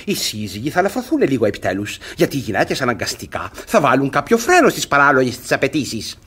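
An actor playing a smug, gullible, domineering guardian in a radio comedy, with a pompous, gloating manner. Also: male voice, medium pitch, sing-song, a bit bright, husky. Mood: smug delight. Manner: pompous, self-satisfied, gloating. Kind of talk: theatrical radio comedy acting.

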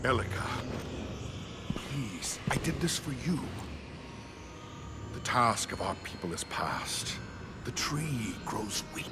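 A man's voice: mid-range voice, sing-song, takes it slowly, pauses often, heavy background noise. Imperious, anguished king, dramatic swings. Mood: pleading, grave. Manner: grave, dramatic, formal. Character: anguished, imperious middle-aged king and father. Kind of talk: video game cutscene acting. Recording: music behind. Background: game music.

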